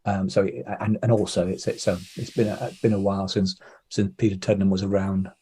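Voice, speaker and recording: A man's voice: low voice, little pitch movement, brisk, warm tone, breathy edge. A man giving hesitant, discursive explanations as the guest in a casual interview. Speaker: interview guest, audio drama producer.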